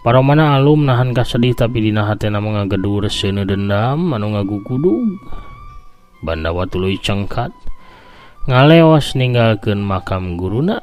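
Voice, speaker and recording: Low-voiced Sundanese male storyteller. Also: lively intonation, moderate pace, darkish tone, soft background music. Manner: theatrical, with voiced sound effects. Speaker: Sundanese folk tale storyteller. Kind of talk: dramatic scripted story narration.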